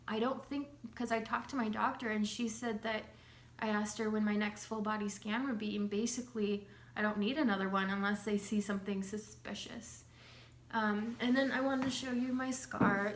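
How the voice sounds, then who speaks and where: A woman's voice: a little high, steady tone, moderate pace. Chatty young woman in an echoey room. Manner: chatty, loose, many fillers and restarts. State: has a slight cold, clears her throat. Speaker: vlogger sharing her recovery. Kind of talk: casual talking-to-camera update. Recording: echoey room with faint hum.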